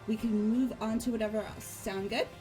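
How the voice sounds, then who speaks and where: Mellow woman reading game dialogue. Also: a little high, steady tone, normal speed, faint music behind. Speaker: friend reading parts in a game playthrough.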